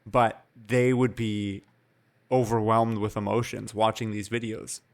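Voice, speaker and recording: A man's voice: lowish voice, level tone, a little slow. A young man from Canada co-hosting a podcast, talking in a thoughtful, reflective and somewhat hesitant way. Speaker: podcast co-host. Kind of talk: casual chat.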